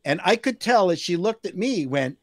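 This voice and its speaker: An older man from Canada, a guest telling stories with lively, acted-out quotes on a video call from a home room. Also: high voice, moderate pace, no echo, clean studio sound. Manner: animated storyteller who acts out quotes. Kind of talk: casual anecdote telling. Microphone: desk mic on a video call.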